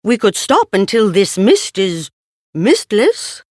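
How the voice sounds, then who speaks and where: A woman voicing a gentle, musing, simple-hearted male toy bear in a children's storybook, speaking plainly. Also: medium pitch, very animated, slow, no room sound, studio quality. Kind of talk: children's storybook read-aloud.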